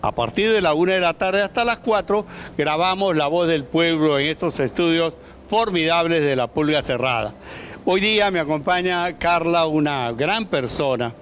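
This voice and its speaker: A man's voice: on the high side, normal speed, fairly bright tone, slight background noise. An older man hosting a community TV interview, effusive and welcoming, speaking into a handheld microphone in an indoor studio. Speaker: TV show host. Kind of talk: interviewing a guest on a community TV show. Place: indoor studio set in a market hall.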